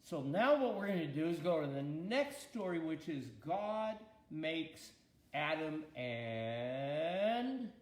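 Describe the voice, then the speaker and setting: An older man reading a children's storybook aloud in an expressive, grandfatherly way, with deliberate pauses and chatty asides. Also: fairly high pitch. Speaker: bishop reading Bible stories to his granddaughter. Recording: echoey room.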